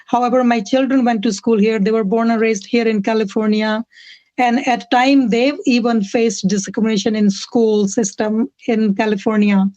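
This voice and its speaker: A woman's voice: on the high side, steady tone, moderate pace, a little mellow, dry recording. A middle-aged or older woman from India with an Indian accent, giving impassioned personal testimony as an interview guest, on lo-fi audio. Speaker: interview guest, community activist.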